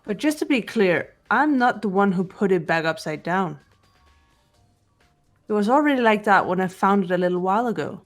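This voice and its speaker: Calm, unemotional teenage girl detective played by a woman. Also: medium pitch, normal speed, soft background music, close to an everyday voice. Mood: calm, instructive. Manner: composed, matter-of-fact, precise. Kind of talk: scripted visual novel voice acting.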